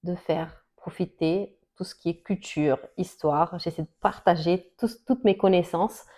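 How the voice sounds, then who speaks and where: A woman's voice: lowish voice, unhurried, warm tone. A woman from Portugal, a Portuguese teacher, talking about her path to the camera, with frequent hesitations, fillers and restarts. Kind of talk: personal talk to camera.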